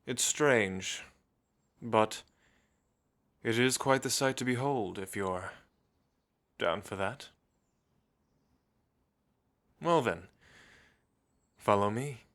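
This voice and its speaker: A man playing a refined, centuries-old gentle vampire in an intimate ASMR roleplay, speaking in measured, old-fashioned phrases with thoughtful pauses. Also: fairly low pitch. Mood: intrigued, courtly.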